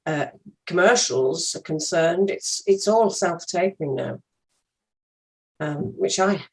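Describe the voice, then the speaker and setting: A woman's voice: on the low side, slow, a little mellow, low-quality recording. An older woman, an interview guest, talking frankly and emphatically in casual conversation.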